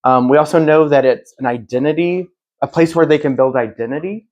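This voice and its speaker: A man speaking as the moderator of a public event, giving a prepared introduction in an earnest, measured, explanatory way. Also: fairly high pitch, a little mellow, highs cut off.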